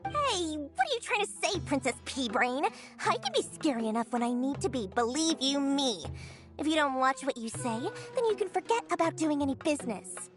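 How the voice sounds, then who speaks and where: A woman voicing a sharp-tongued, savvy female merchant in a game cutscene, brash and teasing. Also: sits high, very animated, moderate pace. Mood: bossy. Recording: game soundtrack music behind.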